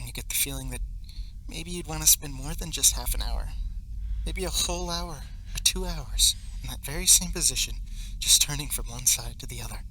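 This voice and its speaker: A teenage male actor playing an intense, needling man in a stage play, swinging theatrically between loud and hushed delivery, with obsessive fervor. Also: on the high side, poor audio. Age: teens. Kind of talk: stage play monologue, projected theatrical acting. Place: high school theatre stage. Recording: live stage recording with faint noise.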